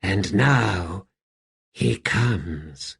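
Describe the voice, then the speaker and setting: A man voicing an ancient male wraith who speaks formally with quiet amusement. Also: low voice, animated, very slow, vocal fry, breathy edge, full character voice. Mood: amused. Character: ancient undead male wraith, courtly and sly.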